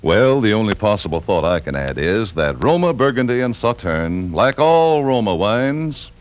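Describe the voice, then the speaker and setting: A man's voice: lowish voice, big pitch swings, moderate pace. A man announcing a commercial in 1940s radio style, polished and emphatic. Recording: dull 1940s radio recording.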